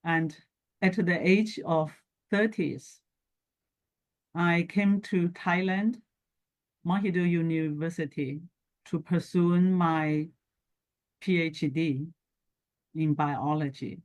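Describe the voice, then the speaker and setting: A middle-aged woman with a Chinese accent talks as an interview guest. Her delivery is slow and halting, broken into short phrases with pauses between them. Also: lowish voice, steady tone, darkish tone. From China. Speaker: guest, scientist and entrepreneur. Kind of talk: talk show interview, telling personal background.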